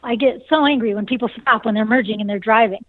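A woman in her forties talks with a nasal, slightly high voice, bubbly and quick to laugh. She sounds like she is on a tinny phone line, dry and close. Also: dry recording. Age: 40s. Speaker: singer and saxophonist. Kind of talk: casual interview answers.

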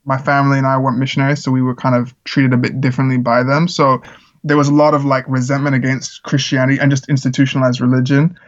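A man's voice: mid-range voice, little pitch movement, fast, lo-fi sound. A man who grew up in the Czech Republic, a musician guest talking earnestly in a casual interview, with lots of fillers. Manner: earnest, rambling with frequent fillers.

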